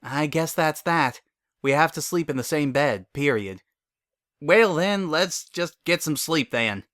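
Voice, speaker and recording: A man's voice: a little high, animated, a little slow, fairly bright tone, dry recording, studio quality, voice pushed up. A man voicing a stubborn, flustered country mare with a folksy, plain-spoken manner in a story reading. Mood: grumpy. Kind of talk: dramatic fan fiction reading.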